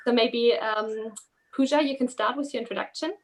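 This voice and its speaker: A woman's voice: a little high, moderate pace, low-quality recording. A woman moderating a webinar, handing the word to panelists with brief, polite prompts. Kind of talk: webinar hosting.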